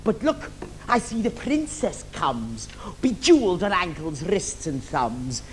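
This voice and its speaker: A scheming, camp old pantomime villain, declaiming with theatrical relish. The voice sits very high for a male and swoops widely. It is caught live on a theatre stage with some noise. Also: big pitch swings, unhurried. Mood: grandly scheming. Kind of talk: adult pantomime stage acting with rhyming verse and innuendo.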